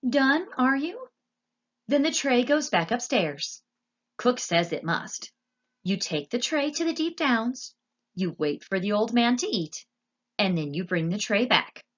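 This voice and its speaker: A woman voicing a loud, blunt, hard-of-hearing servant girl with rustic grammar for a storybook. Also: a little high, sing-song, unhurried, highs cut off, pitched above the performer's own voice. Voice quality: loud, shouting.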